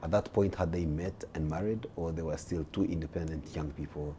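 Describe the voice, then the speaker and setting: A male talk show host asking lively, probing questions in a playful, theatrical manner. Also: very deep voice, a little fast, slight background noise.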